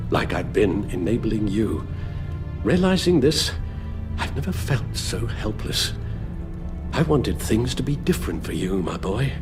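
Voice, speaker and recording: Older butler, video game drama. Weary, earnest, formal, very expressive in pitch, guilt in every phrase. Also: male voice. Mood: grave, sorrowful. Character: weary elderly male butler, guilt-ridden, grave, fatherly.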